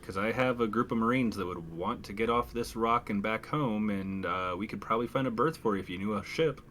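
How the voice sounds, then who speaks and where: A man with a low voice plays a tabletop roleplaying game. He is terse and matter-of-fact, moving between character dialogue and action description, with background noise around him. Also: a little fast, fairly bright tone.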